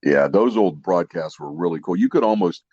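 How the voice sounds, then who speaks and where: A man's voice: low voice, moderate pace, warm tone, dry recording. A man co-hosting a podcast, chatting casually with agreeable, impressed reactions, on a slightly muffled close recording. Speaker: podcast co-host.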